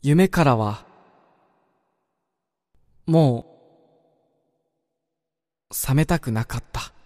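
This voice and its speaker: A man playing a wistful dreamer in a radio drama, telling of his own dream in a measured, reflective first-person narration. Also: medium pitch, expressive, dark tone. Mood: tender, longing. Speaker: narrator-protagonist. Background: background music.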